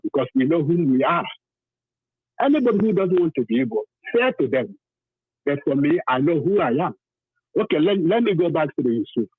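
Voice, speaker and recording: A man's voice: on the high side, breathy edge. A man from southern Nigeria with a Nigerian accent, calling in to a talk programme and speaking with passion and emphasis, repeating phrases to drive his points home. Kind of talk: impassioned phone-in testimony. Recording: thin phone-line sound, roomy.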